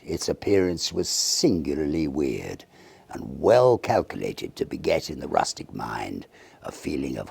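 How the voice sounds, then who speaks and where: A man reading a Victorian ghost story aloud in a measured, dramatic and expressive storytelling manner. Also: rumbling low, vocal fry, breathy edge, no room sound. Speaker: audiobook narrator.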